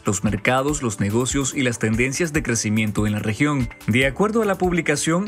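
A man reads a news report in a steady, formal voice-over at a middle pitch. Faint music runs under his narration. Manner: steady, formal read delivery.

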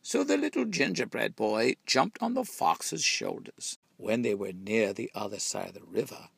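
A man reads a children's tale with dramatic expression. His voice is fairly low and gravelly, moving freely up and down. The recording is close and dry. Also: very animated, moderate pace, a bit bright, no echo. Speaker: storybook reader. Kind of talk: scripted storybook narration.

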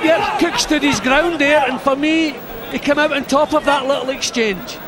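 Boxing pundit breaking down the fight, very high voice, crowd roaring behind. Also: male voice, normal speed. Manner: analytical, explains the boxers' tactics. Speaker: co-commentator. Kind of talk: expert boxing analysis. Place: arena ringside. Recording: broadcast with loud crowd noise. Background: loud arena crowd.

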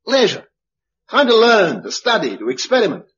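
An actor playing a hot-tempered, argumentative organizer in a British radio drama, with emphatic delivery. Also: male voice, high-pitched, huge pitch swings, moderate pace, a bit bright, husky, exaggerated voice. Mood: urgent, persuasive. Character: hot-tempered forceful male organizer urging survivors to rebuild. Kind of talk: 1950s-60s British radio drama acting. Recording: dull old radio broadcast recording.

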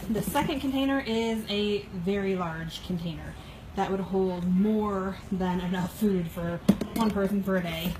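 A woman giving a casual, matter-of-fact product review in her kitchen, talking off camera into her phone with frequent fillers. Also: medium pitch. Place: home kitchen, indoors. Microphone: phone camera held by the speaker, off-screen. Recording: phone video with audible background noise.

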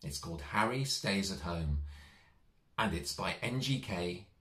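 A middle-aged man reading and talking to children in a gentle, reassuring, expressive way. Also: sits low, a little slow. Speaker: storyteller. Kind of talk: children's story reading, direct address to young listeners. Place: small child's bedroom, indoors. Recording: echoey domestic room.

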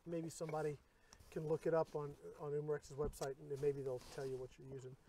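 Middle-aged male host, slightly high voice, easygoing explainer, outdoor range, faint hiss. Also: flat delivery, fast talker, warm tone, poor audio. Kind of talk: casual range chat.

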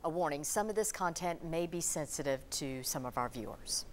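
A woman's voice: low-pitched, expressive, normal speed, a little hiss or hum. A woman anchoring the news from a television studio in a composed manner.

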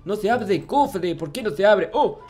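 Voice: medium pitch, animated, moderate pace, darkish tone, close to an everyday voice. A performer acts out a naive little boy in a video game roleplay, timid and whiny. He stammers and repeats himself in childish worry. Music plays behind the voice. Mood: puzzled. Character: naive, easily frightened childlike noob boy in Minecraft roleplay. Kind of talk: improvised in-character gaming roleplay.

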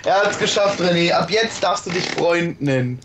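Young guy, high voice, jokes around while gaming with mates, slight hiss. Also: expressive, moderate pace. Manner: joking, teasing, laughs often. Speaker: gamer. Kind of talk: casual gaming chat with friends.

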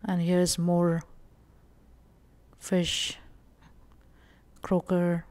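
Calm woman vlogger with a low voice. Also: drawn-out words, dry recording. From India. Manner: calm, explanatory, frequent uh fillers. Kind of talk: casual shopping walkthrough voice-over.

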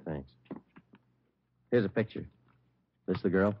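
A man playing a terse, matter-of-fact police detective who narrates his case deadpan in an old radio crime drama. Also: deep voice, slow, slightly rough, heavily acted. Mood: businesslike. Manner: deadpan, understated. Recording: muffled old radio recording with music.

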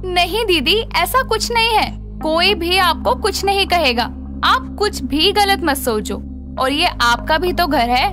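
A woman's voice: very high voice, expressive, unhurried, music in the background. A woman voicing a sweet, polite, conciliatory young daughter-in-law in a cartoon. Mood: placating. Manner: polite, placating.

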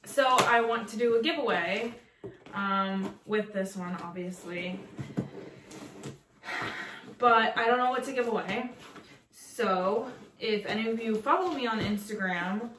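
A woman's voice: mid-range voice, a little slow, pauses often, background noise. A young woman from Mexico chatting casually about plants in a bedroom, filmed by a camera across the room. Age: young adult. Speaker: plant vlogger. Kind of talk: casual chat. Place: bedroom, seated on bed. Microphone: far camera. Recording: roomy echo, distant from mic.

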